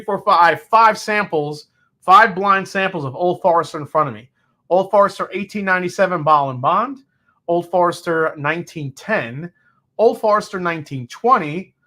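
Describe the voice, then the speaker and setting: Host, male, 40s: excitable, chatty live-stream talker with a somewhat high, bright voice. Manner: chatty and excitable, thinks aloud, quick exclamations. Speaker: host of a whiskey tasting show. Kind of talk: casual live tasting chat, reading viewer comments.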